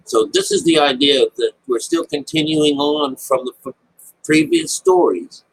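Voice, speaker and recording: A man with a husky voice giving informal, unscripted Bible commentary, rambling, repeating phrases and checking in with his listeners. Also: on the high side, wildly swooping pitch, a little slow, low-quality recording. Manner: rambling explanation with frequent check-ins like "all right?" and "okay?". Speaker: Bible teacher.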